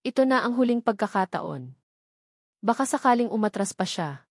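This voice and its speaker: A woman's voice: fairly high pitch, animated, normal speed, slightly rough, no room sound, studio quality. A woman voicing a young rich bride-to-be, hesitant and self-deprecating, in an audio love drama. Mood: nervous, pleading. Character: young rich bride-to-be feigning clumsy, plain sweetness.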